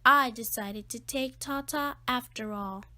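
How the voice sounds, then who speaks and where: A woman's voice: fairly high pitch, a little slow, pushed and bright. A woman voicing a curious, persistent young child in a storybook read-aloud. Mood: resolute.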